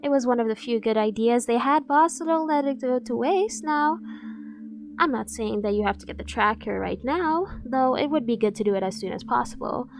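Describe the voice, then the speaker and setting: A woman performs a devoted female bodyguard in an ASMR roleplay, her voice pitched high. She coaxes and insists with a professional front that barely hides a dorky obsession. Faint music plays behind her. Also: expressive, normal speed. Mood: insistent. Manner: persuasive, coaxing, insistent.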